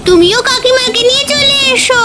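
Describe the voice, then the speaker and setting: Very high-pitched little village girl. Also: brisk, heavy background noise. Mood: helpful. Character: sweet helpful young village daughter. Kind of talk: children's fairy tale cartoon dub.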